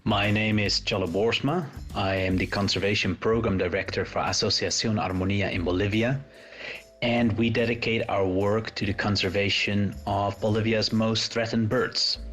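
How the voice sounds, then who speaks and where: A man from the Netherlands, a conservation program director, speaking in a measured, informative way. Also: fairly low pitch, fairly even intonation, a bit bright. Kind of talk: interview answers.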